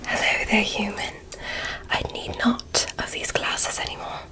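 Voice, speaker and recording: A woman playing a polite, curious female alien medical examiner in an ASMR roleplay, in a soft breathy whisper with formal, stilted phrasing. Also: close to an everyday voice. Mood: courteous, curious. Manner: formal, oddly stilted, deliberate, reassuring.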